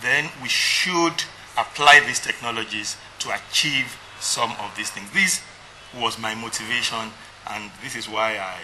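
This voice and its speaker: A man interviewed in a TV studio, speaking in a measured, thoughtful way with ums. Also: fairly low pitch. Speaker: guest, language technology CEO. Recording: noisy studio.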